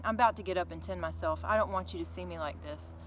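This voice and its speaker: Woman reading as a rueful Southern father, low-voiced. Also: fast, fairly bright tone, a little hiss or hum, lightly acted, rough recording. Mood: rueful, tired. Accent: American South. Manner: rueful, folksy. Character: hungover, ashamed Southern father in 1929. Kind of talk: book reading character voice.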